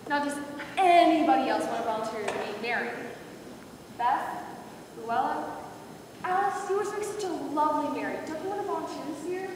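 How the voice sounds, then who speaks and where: Woman acting a brisk pageant director in echoey hall. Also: high voice, lightly acted. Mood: coaxing. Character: brisk, firm woman directing a children's church Christmas pageant. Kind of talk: amateur readers theater skit. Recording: distant, very echoey hall with background noise.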